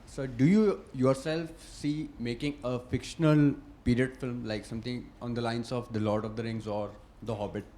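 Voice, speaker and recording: Medium-pitched man asking from audience. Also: fairly even intonation, moderate pace, hardly any pauses, dark tone. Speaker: audience member, actor. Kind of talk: live question to a speaker.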